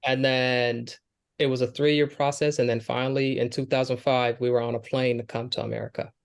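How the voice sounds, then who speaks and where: A man's voice: mid-range voice, little pitch movement, fairly quick, vocal fry, smooth. A young man from Kenya with a Somali family, answering interview questions in an earnest, conversational way, with many fillers and restarts. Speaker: guest, Air Force airman.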